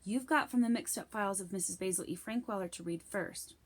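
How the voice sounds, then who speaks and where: A woman's voice: mid-range voice, fairly quick, lightly acted. A woman reading a children's book aloud as a guarded, bookish schoolgirl. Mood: firm.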